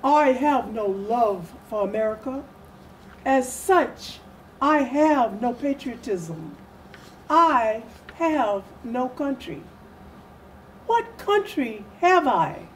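An older woman delivers historical oratory as a dramatic reading. Her high voice moves very slowly and solemnly, pausing between phrases. There is noticeable room noise behind her. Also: sing-song, low-quality recording. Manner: deliberate, solemn, with long pauses. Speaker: readers theatre performer. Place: small furnished indoor room.

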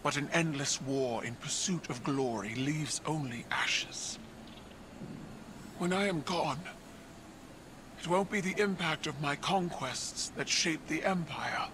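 Grave alien warlord in a game, really slow and heavy, noisy game audio. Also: male voice, on the high side. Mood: reflective. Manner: slow, measured, solemn. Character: proud alien warrior ruler, grave. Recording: game audio with background noise.

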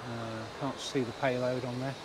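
A man's voice: mid-range voice, level tone, moderate pace. A man, giving a casual walkaround of a motorhome at a show, thinking aloud as he goes. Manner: chatty, thinking aloud, mild remarks. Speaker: motorhome vlogger. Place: inside a motorhome at an indoor trade show. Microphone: handheld camera mic. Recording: noisy exhibition hall.

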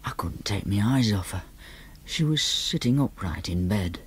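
An actor playing an awkward young man, narrating flatly in the first person and grunting replies. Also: on the low side, measured pace, breathy edge, full character voice.

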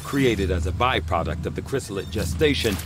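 An actor playing a composed male scientist in a video game, speaking in a calm, formal, precise way. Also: fairly low pitch, brisk, music in the background, close to an everyday voice. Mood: explaining. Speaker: science officer. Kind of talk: video game cutscene acting.

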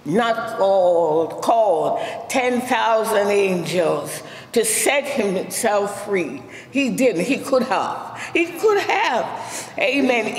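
A middle-aged woman minister with a Jamaican accent, preaching a sermon with frequent amens and shouted praise. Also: medium pitch. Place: large church sanctuary. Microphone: handheld mic. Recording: echoey church hall.

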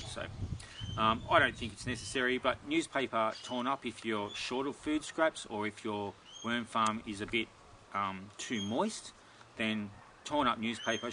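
A middle-aged man answering viewer questions about his garden in a relaxed, rambling way, recorded outdoors in a backyard. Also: mid-range voice, a little hiss or hum. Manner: relaxed and rambling, many ums and restarts. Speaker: gardening video host. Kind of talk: casual Q&A, reading viewer questions.

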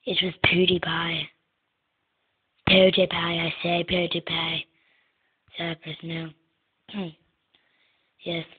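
Soft-spoken young woman, tinny lo-fi sound. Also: on the low side, monotone, takes it slowly, long pauses, husky. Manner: soft-spoken, answers in short words. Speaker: gaming channel host. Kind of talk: casual gameplay commentary. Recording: tinny lo-fi phone-like recording.

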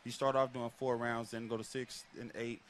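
A man's voice: medium pitch, normal speed, slight background noise. A man in his thirties, a boxer guest, talking casually and rambling with lots of fillers on a headset mic at an outdoor set. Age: 30s. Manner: relaxed, rambling with many fillers. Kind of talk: casual interview talk.

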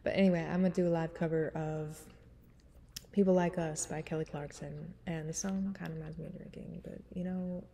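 A woman's voice: steady tone, a little fast, a crowd in the background. Smoky-voiced woman rambling casually. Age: 30s. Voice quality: smoky, husky low voice. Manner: rambling, laughing, frank casual chat. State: stuffy nose. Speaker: singer vlogger. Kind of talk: casual chat before a cover song. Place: small room indoors.

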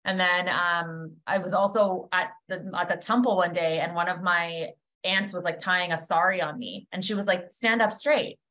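A woman's voice: on the low side, moderate pace, sharp tone, poor audio. A woman in her thirties with a Canadian accent, chatting informally as a podcast guest and telling personal stories. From Canada, born in Trinidad. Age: 30s. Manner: informal, peppered with "like". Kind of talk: casual chat, personal storytelling. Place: indoor room, video call.

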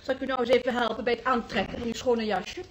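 A woman playing a brisk, helpful nurse in a radio play. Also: a little high, fairly even intonation, close to an everyday voice, poor audio. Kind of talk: Dutch radio drama acting.

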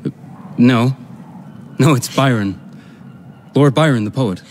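An actor playing a stiff, smitten young gentleman in a radio drama, polite, earnest and a little hesitant. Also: medium pitch, takes it slowly, a little hiss or hum, lightly acted.

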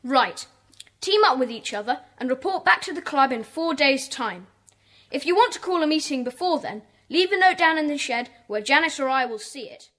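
A woman's voice: sits high, expressive, moderate pace, a bit bright. A woman playing a sensible, bossy schoolboy club leader in a children's audio drama, decisive and orderly.

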